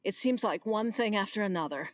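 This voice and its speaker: A woman's voice: mid-range voice, expressive, a little fast, no room sound, natural voice. A woman acting a gracious, commanding, flirtatious vineyard owner with genteel, theatrical emphasis in a comedic radio play. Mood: flustered, commanding.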